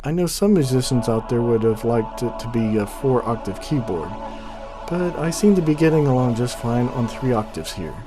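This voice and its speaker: A man narrating a product review and demonstration in a measured, enthusiastic way. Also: medium pitch, expressive. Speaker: reviewer, host of a synthesizer channel. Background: synthesizer music.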